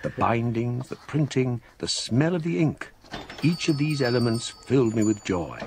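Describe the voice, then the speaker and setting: An actor playing a scholarly English gentleman recounting a ghostly memoir in measured, formal, literary phrases for radio drama. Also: medium pitch, expressive, slight background noise, natural voice. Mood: reflective.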